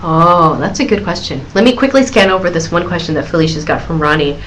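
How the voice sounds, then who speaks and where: A woman in her thirties answers viewers' questions on a live stream, chatty and giggly, with plenty of fillers and restarts. Her voice sits low. A faint hiss runs underneath. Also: animated, fast, a bit bright, poor audio. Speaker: singer hosting a live Q&A stream.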